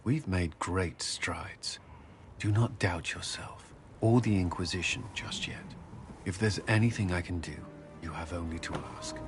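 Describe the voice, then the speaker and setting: An actor playing an earnest, humbled, dutiful warrior in a video game, speaking sincerely and haltingly. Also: male voice, deep voice, over music. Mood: reassuring. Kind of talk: video game cutscene dialogue. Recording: clear background ambient noise.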